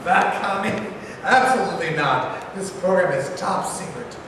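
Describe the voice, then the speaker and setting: A man playing a deferential government official in a staged play reading, halting and formal, heard from afar in an echoey hall. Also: high-pitched, lightly acted. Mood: dismissive. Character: deferential male government official briefing his president. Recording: distant mic, echoey hall, clear noise.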